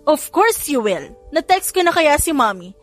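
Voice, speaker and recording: A woman voicing a bubbly, excitable young aunt in an audiobook, gushing and exclaiming as she mixes English into Tagalog. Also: high voice, unhurried, faint music behind, pitched above the performer's own voice. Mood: cheerful. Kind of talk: audiobook character voice.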